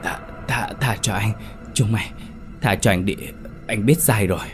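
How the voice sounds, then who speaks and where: A cowardly husband pleads with a shaking voice, low and loud, as the narrator puts him on. It is a spoken horror story with music underneath. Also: male voice, normal speed, natural voice. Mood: trembling. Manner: trembling, pleading. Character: cowardly guilty husband begging for mercy.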